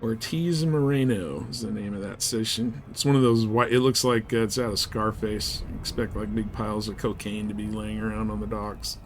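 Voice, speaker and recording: A man's voice: fairly low pitch, steady tone, moderate pace, few pauses, soft background music. A man giving casual live game commentary, rambling and thinking aloud as he plays. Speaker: game streamer.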